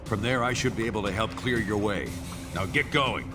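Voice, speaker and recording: Gruff commander , played by a man: tough veteran spy, lower-pitched, curt orders, game cinematic with prominent score. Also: moderate pace, lightly acted. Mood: brusque. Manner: blunt, commanding, streetwise. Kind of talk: video game cutscene acting. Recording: loud music behind the voice.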